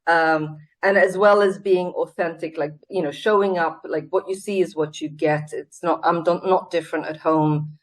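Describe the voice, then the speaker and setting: A woman's voice: low-pitched, steady tone, normal speed, hardly any pauses, low-quality recording. A Swedish-born woman, a CEO, talking in a casual interview over a video call, earnest and reflective with many fillers and restarts. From Sweden.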